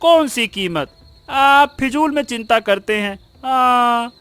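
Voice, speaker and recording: A man voicing an excitable, stubborn poor village schoolboy in a Hindi cartoon story, exclaiming and repeating words emphatically. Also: very high-pitched, takes it slowly, clean tone, full character voice. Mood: boastful, dismissive.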